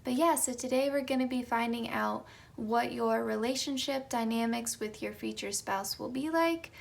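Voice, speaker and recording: Young female tarot reader, chatty, slightly high voice with a gravelly edge, just out of bed. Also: normal speed. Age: young adult. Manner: chatty, light laughs, soft whispered card calls. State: just woken up, slightly raspy. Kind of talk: casual pick-a-card tarot reading.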